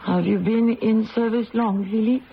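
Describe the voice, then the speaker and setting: A woman's voice: fairly high pitch, fairly even intonation, normal speed, vocal fry, slight background noise. An actress playing a proud, weary, sorrowful condemned French queen in a theatrical old-time radio drama style. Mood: resigned, gentle. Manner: theatrical, imperious, emotional. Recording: dull lo-fi radio, music behind.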